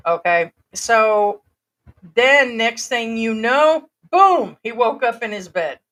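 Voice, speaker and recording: A woman's voice: on the high side, lively intonation, slow pace, fairly bright tone. A woman from the United States co-hosting a casual chat, laughing often and reading a story aloud with comments. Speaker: co-host.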